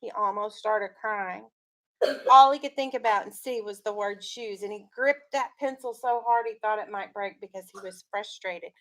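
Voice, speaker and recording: A woman's voice: mid-range voice, moderate pace, poor audio. A woman teacher reading a story aloud to children, in an instructive way with leading questions.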